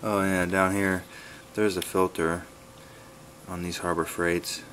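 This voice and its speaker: Deep male voice, monotone, laid-back halting delivery, casual video update, some background noise. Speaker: wood-splitting video blogger. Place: garage, open to outdoors. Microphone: handheld camera's built-in mic.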